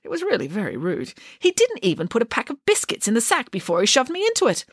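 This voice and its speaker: A woman's voice: on the high side, wildly swooping pitch, fast talker, husky, no echo, studio quality, pitched above the performer's own voice, exaggerated voice. A woman voicing a bold, mischievous nanny who talks in an indignant, proper way. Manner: indignant, proper, grandly self-assured.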